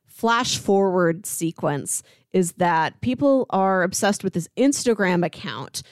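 A woman's voice: fairly low pitch, takes it slowly, dry recording. A woman co-hosting a casual TV review chat, talking conversationally and peppering her sentences with "like". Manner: animated, conversational, frequent "like". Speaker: podcast co-host.

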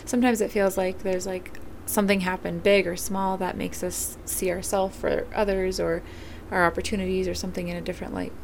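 A woman hosting a casual interview podcast, encouraging and agreeable, her voice going a bit hoarse. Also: lowish voice, level tone, fairly quick, slight background noise. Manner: encouraging, lots of agreeing and soft laughs. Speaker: podcast host.

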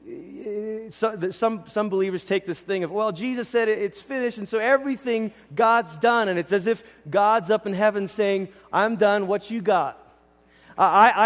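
High-pitched man preaching in a reverberant hall. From Malaysia. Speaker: pastor preaching. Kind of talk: conversational teaching sermon. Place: large indoor church hall.

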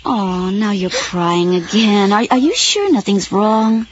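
An actress playing a flustered suburban woman in an audio drama, scolding but soft-hearted, in a natural style. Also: mid-range voice, expressive, takes it slowly, muffled recording, a little hiss or hum. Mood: gentle, concerned.

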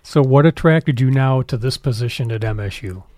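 Mellow-voiced male interview host. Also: medium pitch, normal speed, dry recording. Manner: friendly, conversational questioning. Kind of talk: interview questions.

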